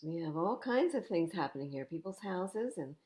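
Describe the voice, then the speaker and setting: An older woman reading children's stories aloud at storytime, expressive and unhurried, with little asides to young listeners. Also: fairly low pitch, a little hiss or hum. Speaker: library storytime reader.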